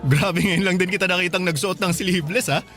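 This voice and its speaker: Teasing young porter dude, really high male voice, playful n kinda tactless, radio drama. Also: a little fast, fairly bright tone, faint music behind. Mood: mocking. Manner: playful, mocking, casual. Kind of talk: Filipino radio romance drama acting.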